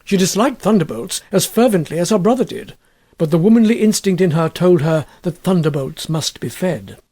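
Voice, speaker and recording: Husky male storyteller with lively intonation. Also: high-pitched, moderate pace. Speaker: audiobook reader. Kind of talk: scripted literary narration of a comic short story.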